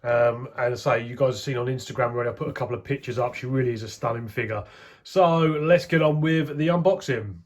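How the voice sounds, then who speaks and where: A man's voice: mid-range voice, fast. A man with a head cold, chatting his way through a toy unboxing review and talking straight to his viewers. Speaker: toy collector vlogger.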